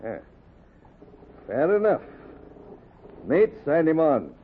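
Old sailing skipper, bossy but fair, swoopy delivery, tinny old radio play sound. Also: male voice, a little high, huge pitch swings, slow, husky, full character voice. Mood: brisk, challenging. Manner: commanding, old-fashioned sailor speech. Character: brisk, fair-minded whaling ship skipper, loyal to crew. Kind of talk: historical radio drama acting. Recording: narrow phone-like old recording, light noise.

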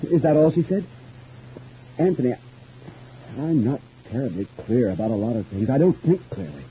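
A dull, hissy old radio drama recording. A male actor plays a disturbed, bitter man who suspects everyone, his pitch leaping about as he fires insistent questions. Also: very animated, moderate pace, close to an everyday voice. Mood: suspicious, probing. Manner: agitated, probing with insistent repeated questions. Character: disturbed, suspicious, bitter man in a family feud. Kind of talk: old radio soap opera acting.

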